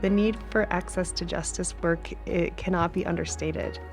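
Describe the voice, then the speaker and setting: Earnest woman with a gravelly edge. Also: on the low side, steady tone, normal speed, over music. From Mexican American, grew up speaking Spanish. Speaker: law alumna, nonprofit executive director. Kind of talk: interview for a tribute video.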